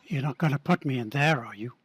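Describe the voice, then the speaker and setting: A man's voice: medium pitch, fast talker, slightly rough, close to an everyday voice. An actor playing a frightened young wise guy in a radio play. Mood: scared.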